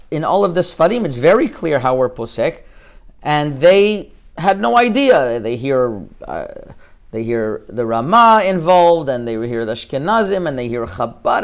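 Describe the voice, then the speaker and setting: A middle-aged man from Canada, a rabbi giving an informal lecture, talking haltingly with frequent restarts and Hebrew terms woven into his speech. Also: fairly high pitch.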